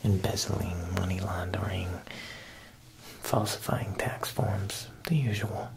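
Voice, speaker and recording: A young man playing an Italian mob boss tailor in a whispered ASMR roleplay, with an Italian-American accent and a smooth, insinuating manner. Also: low-pitched, little pitch movement, exaggerated voice. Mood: quietly menacing. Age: young adult. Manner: slow, hushed, insinuating, with pauses.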